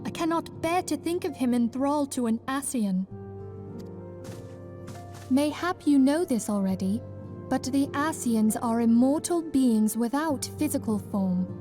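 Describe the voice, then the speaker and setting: A woman's voice: high-pitched, animated, a little slow, warm tone, soft background music. A woman voicing a solemn, gracious leader of a heroic order in formal, earnest fantasy game dialogue. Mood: grave, explaining.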